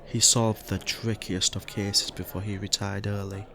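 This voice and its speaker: A man's voice: lowish voice, flat delivery, measured pace, vocal fry, fairly bright tone. A man playing a hesitant, by-the-book police detective in an audio drama, speaking formally. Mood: measured, hesitant.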